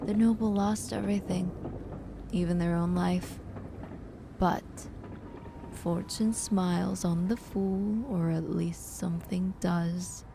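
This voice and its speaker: A woman playing a solemn, ominous storyteller, narrating a dark audio drama in a measured, foreboding and sometimes hushed way. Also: on the low side, gravelly.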